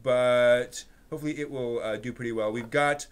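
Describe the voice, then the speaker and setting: A man hosting a casual anime news chat with co-hosts, talking in a halting, agreeable way. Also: medium pitch, fairly even intonation, smooth. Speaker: host presenting anime news.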